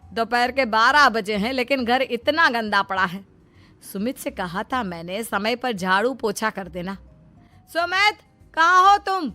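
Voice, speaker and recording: A woman's voice: a little high, animated, unhurried, bright, forceful tone, soft background music. A woman voicing a bossy middle-aged mother-in-law in a dramatic, emphatic cartoon style. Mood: scolding, annoyed. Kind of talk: Hindi cartoon story acting.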